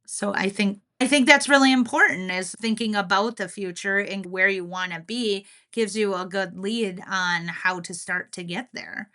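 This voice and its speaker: A woman with a bright, clear-cut voice at an ordinary pitch co-hosts a podcast. She talks in a casual, reflective way and comes across as agreeable and lightly self-deprecating. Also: normal speed, hardly any pauses. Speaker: podcast co-host.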